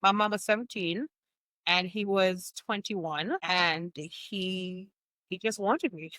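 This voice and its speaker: A woman's voice: mid-range voice, slow, sharp tone, no room sound. A woman, a fitness coach telling her life story as a podcast guest, laughing often. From Jamaica. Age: 60s. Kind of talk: podcast interview.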